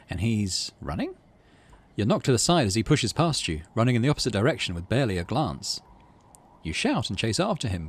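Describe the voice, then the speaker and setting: Low, lively man narrating a game. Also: breathy edge. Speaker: gaming channel host. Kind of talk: narrated playthrough, reading game text aloud with casual asides.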